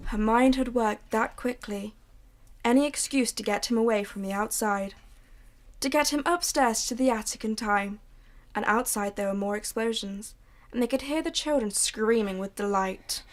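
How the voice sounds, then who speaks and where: A woman narrating a radio drama in a low, hushed storytelling manner. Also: moderate pace.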